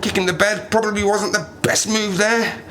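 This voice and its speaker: A man's voice: high-pitched, fairly even intonation, moderate pace, noisy background, natural voice. An actor playing a lonely, half-mad plane-crash survivor in an audio drama, rambling and self-mocking as he talks to himself. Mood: self-mocking, rueful. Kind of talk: audio drama monologue, diary-like.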